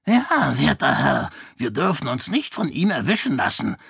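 A man voicing an old, half-senile male beggar with a croaky, rambling manner. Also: mid-range voice, huge pitch swings, normal speed, a bit bright, no room sound, studio quality, pitched above the performer's own voice, heavily acted. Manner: rambling, humble.

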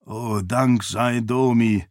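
A headstrong older king, played by a male narrator, with a medium-pitched voice. He speaks as a commanding, protective father in a fantasy audiobook. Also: fairly even intonation, slow, dry recording, clean studio sound, close to an everyday voice. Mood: anxious relief.

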